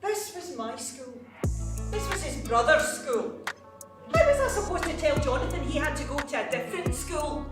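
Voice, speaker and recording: An impassioned mother argues for her son's schooling in a very high voice. She is heard from far off in a very echoey space, with music playing. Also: very animated, moderate pace. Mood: indignant, pleading. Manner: impassioned, declamatory. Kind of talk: theatrical stage acting. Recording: very echoey stage, distant mic, music over it.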